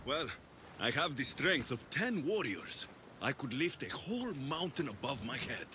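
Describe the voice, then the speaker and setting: An actor playing a bold, wry mercenary warrior hero in a video game. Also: male voice, mid-range voice, big pitch swings, unhurried, noisy background. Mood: wry, boastful. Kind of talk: video game character acting.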